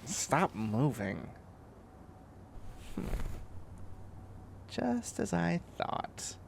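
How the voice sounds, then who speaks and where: A man's voice: fairly high pitch, wildly swooping pitch, drawn-out words, vocal fry, slight background noise, full character voice. A man playing a bossy, clingy young catboy in a comedic roleplay audio drama, whining and demanding in a needy way.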